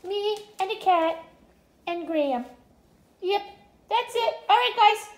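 A cheerful little female dog, a food-loving beggar, chatters away in a very high, childlike sing-song voice, as a woman puts her on. She rambles like a homemade news host, and her voice rings around a roomy home kitchen. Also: lively intonation, slow pace, heavily acted. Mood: chatty. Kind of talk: pet vlog voiced as the dog.

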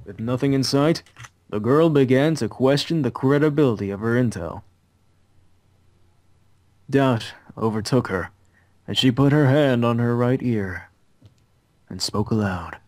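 Guy reading visual novel narration, average pitch, dry sound. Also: unhurried, pauses often, darkish tone, no room sound.

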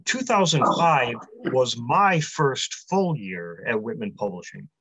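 A man in his forties, a publisher, giving a prepared convention talk from a small room over a video call, in a measured delivery that runs through long lists. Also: medium pitch, very animated, pushed and bright, husky, no room sound. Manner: measured, list-heavy delivery. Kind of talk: prepared talk read aloud. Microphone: webcam on a video call. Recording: dry, slightly muffled webcam audio.

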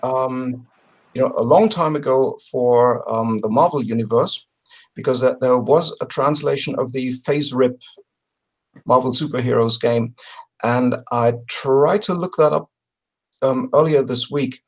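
A middle-aged man talking casually as an interview guest on a video call, often pausing to search for words. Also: medium pitch. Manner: halting, word-searching delivery. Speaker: podcast guest presenting a crowdfunding campaign. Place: home room with bookshelves, on a video call.

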